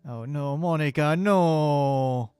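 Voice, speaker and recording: A woman's voice: deep voice, slow pace, warm tone, clear, resonant tone. A woman reacting to a video game on a live stream in casual, mock-dramatic, sweary commentary.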